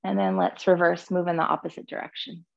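A woman teaching a guided yoga class in a soothing, gentle, unhurried manner, cueing breath and movement. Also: lowish voice, level tone, creaky, no echo, highs cut off.

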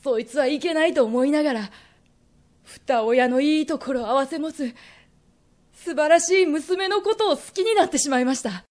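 A woman acts an earnest young merchant in a radio drama. The voice is high for the part, and he confesses his wayward past with remorse and feeling. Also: normal speed, a little mellow, natural voice. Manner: confessional storytelling that swells with emotion. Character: reformed young merchant man, earnest, remorseful, pleading son.

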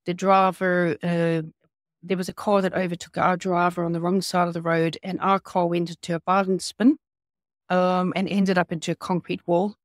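A middle-aged woman with a South African accent, telling her story as an interview guest over a video call, with many hesitant pauses. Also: fairly low pitch, flat delivery, no echo, studio quality. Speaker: guest, patient advocate. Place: home room.

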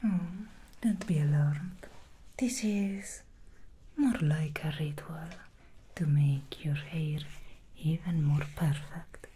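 A woman whispering as a slow, coaxing demon stylist. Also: sits low, warm tone, slight background noise. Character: seductive female demon hair stylist. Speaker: ASMR roleplay stylist. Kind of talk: ASMR roleplay.

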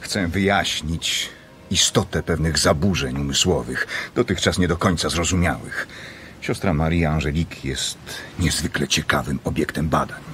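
A very low male voice with a forceful edge, an intense doctor drawn to the occult. He speaks formally and persuasively, as in a radio play, over faint background noise. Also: normal speed. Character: secretive, intense doctor studying occult visions. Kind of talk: radio drama acting.

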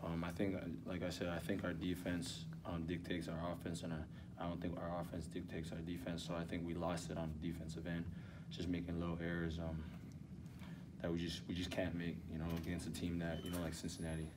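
A young man in his twenties, a college basketball player, answering postgame questions softly and hesitantly with many ums. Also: low-pitched, monotone, a little fast. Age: 20s. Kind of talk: postgame press interview. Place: indoor arena hallway with block walls. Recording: distant mic, background noise.

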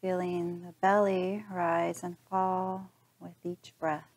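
Woman doing a guided meditation, really slow n calm, kinda low voice. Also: poor audio. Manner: calm, gentle instruction with long pauses. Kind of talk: guided body scan meditation narration.

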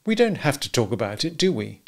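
A man voicing a cool, unrepentant wife in a storybook reading, soft, sweet and placating with a taunting edge. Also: mid-range voice, very animated, brisk, creaky, pitched above the performer's own voice. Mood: sweet, dismissive.

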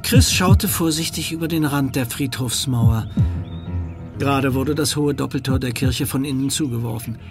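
A man's voice: medium pitch, gravelly. A man giving scripted narration for a German radio play. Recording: music behind.

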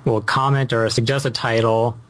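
Hesitant mid-pitched male podcast guest. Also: flat delivery, normal speed, fairly bright tone. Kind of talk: casual chat. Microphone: internet call stream.

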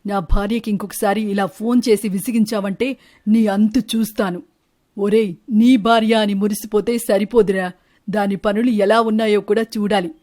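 A woman's voice: medium pitch, steady tone, normal speed, warm tone, natural voice. A woman voicing suspicious, wronged male birds in a cartoon story, questioning and confrontational. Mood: angry. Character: suspicious, wronged male bird husbands and lovers, accusing. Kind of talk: animated Telugu moral-story dialogue.

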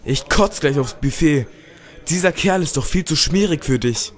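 A man's voice: on the high side, expressive, normal speed, lightly acted. Man playing a snide, jealous young aristocrat. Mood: jealous, scornful. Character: jealous, menacing young male aristocrat, possessive and scornful. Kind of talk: German amateur audio drama dialogue.

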